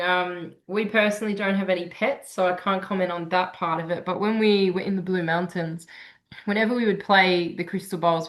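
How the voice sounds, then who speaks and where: Calm woman sound healer, lowish voice. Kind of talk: conversational explanation. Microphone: video call.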